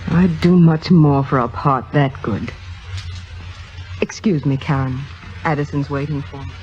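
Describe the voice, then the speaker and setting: An ambitious young actress in old radio drama. She is self-assured and theatrical, with a poised, fairly low voice. The recording is dull and vintage, with faint music. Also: fairly even intonation, a little slow. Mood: cool, calculating. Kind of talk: 1950s radio drama acting.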